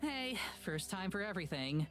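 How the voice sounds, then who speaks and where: A woman's voice: medium pitch, big pitch swings, normal speed, fairly bright tone, soft background music. A woman voicing an emotional, awkward and defensive young woman in a visual novel game. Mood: awkward, casual.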